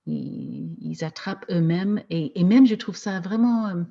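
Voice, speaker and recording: A woman teaching French as a second language, talking casually with slow, hesitant speech and frequent restarts. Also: lowish voice, creaky, dark tone, lo-fi sound. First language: not French. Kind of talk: casual discussion in a video meeting.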